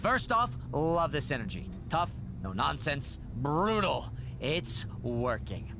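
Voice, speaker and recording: A man's voice: fairly high pitch, very animated, slow pace, creaky, noisy background, heavily acted. An actor playing a nervous, fawning male wrestling manager who rambles anxiously in a video game cutscene. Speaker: wrestling show official.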